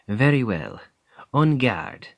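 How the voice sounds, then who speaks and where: An actor playing a seasoned royal bodyguard with a French accent, speaking in a wry, testing, measured way in an ASMR roleplay. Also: male voice, medium pitch, creaky, dry recording, muffled recording, exaggerated voice.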